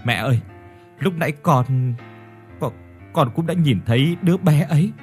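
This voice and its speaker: A man's voice: fairly high pitch, animated, moderate pace, darkish tone, faint music behind, close to an everyday voice. A man voicing a frightened young woman who stammers and pleads.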